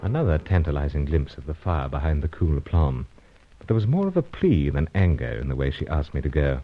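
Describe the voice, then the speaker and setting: An actor playing a suave, dry British secret agent with a clipped, understated manner in a radio serial. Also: male voice, extremely low pitch, sing-song, fairly quick, narrow, tinny audio, full character voice. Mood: narrating. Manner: clipped, drops small words, understated. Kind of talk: old-time radio serial acting and narration.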